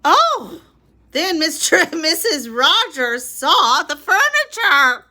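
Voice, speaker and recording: A woman's voice: very high-pitched, very animated, takes it slowly, bright, forceful tone. A woman reading a picture book aloud as a bedtime story for children, expressively, with small reacting asides to listeners.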